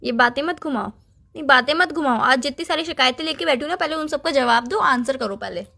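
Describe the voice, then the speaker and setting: High-voiced young woman, nagging. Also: a little fast, creaky, pushed and bright. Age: young adult. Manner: nagging, lists complaints at length. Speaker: girlfriend on a phone call. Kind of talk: casual relationship argument in call format.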